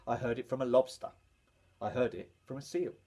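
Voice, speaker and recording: A man's voice: medium pitch, fairly even intonation, fairly quick, warm tone, close to an everyday voice. A man voicing helpful sea creatures passing on a rumour in a lilting storybook delivery.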